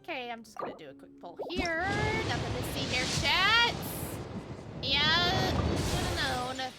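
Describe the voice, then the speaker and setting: A chatty, playful woman streaming a game, swearing casually in a high voice. Loud game music plays behind her the whole time. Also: animated, a little slow. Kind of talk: casual live-stream chat.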